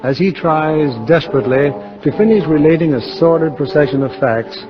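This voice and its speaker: High-voiced 1950s male radio announcer. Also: brisk. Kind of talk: 1950s scripted dramatic radio narration. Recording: muffled vintage radio, music behind.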